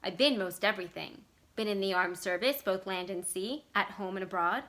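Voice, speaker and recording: A calm, brooding convict on the run as a woman reader acts him out for a short story. He talks in folksy rural phrases, slowly turning things over, with a bright, clear-cut edge to the voice. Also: mid-range voice, lightly acted. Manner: folksy rural speech, musing and deliberate.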